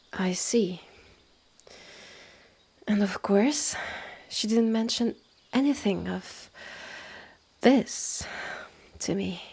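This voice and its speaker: Haughty mistress of the woods, played by a woman: French accent, slow and condescending, gravelly edge, roleplay audio. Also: medium pitch, darkish tone, heavily acted. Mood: intrigued, wry. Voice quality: husky, breathy. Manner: slow, measured, condescending, with breathy drops to near-whisper. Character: haughty, territorial witch's sister running a secretive woodland hotel. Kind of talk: scripted roleplay audio drama.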